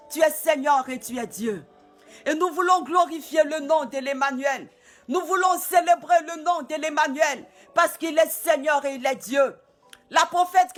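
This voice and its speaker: Fervent male prayer leader, very high bright voice, slow deliberate delivery, soft music under. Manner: fervent, repetitive, often shouted. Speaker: pastor. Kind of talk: prayer and worship exhortation.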